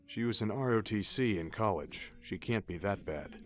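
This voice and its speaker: A man playing a calm older army colonel in an audio drama, measured and matter-of-fact. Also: fairly low pitch, lightly acted. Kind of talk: scripted audio drama acting. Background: music.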